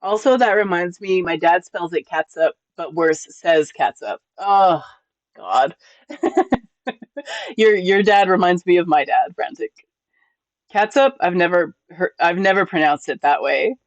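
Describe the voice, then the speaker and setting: High-pitched guy streaming, stammers and restarts a lot, laid back, dry close mic. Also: expressive, dry recording, highs cut off. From born in California, raised in New Mexico. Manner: halting, many repeated words and restarts; laughs often, easygoing. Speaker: livestreamer reading chat. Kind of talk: casual live stream chat.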